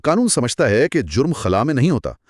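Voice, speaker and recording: A man's voice: medium pitch, big pitch swings, a little fast, no room sound, studio quality. A man co-hosting an educational discussion, explaining things conversationally and with emphasis. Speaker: co-host of an educational law discussion. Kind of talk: conversational explainer, podcast-style dialogue.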